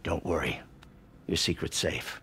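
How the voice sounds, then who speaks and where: Slow, gravelly, discreet visitor. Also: male voice, rumbling low, sharp tone, exaggerated voice. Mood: calm, knowing. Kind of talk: video game acting.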